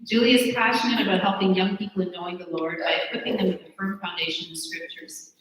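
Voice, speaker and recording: A host introducing the next speaker at a conference, in a warm, formal manner. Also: mid-range voice, steady tone, fast. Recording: echoey hall with faint noise.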